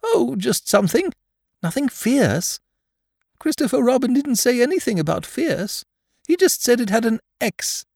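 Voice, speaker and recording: Innocent, dim but friendly storybook bear, pitched high with very animated, eager delivery. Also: male voice, a little slow, creaky, no echo, studio quality, full character voice. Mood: excited. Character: naive, friendly, slow-witted little male bear. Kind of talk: children's storybook reading.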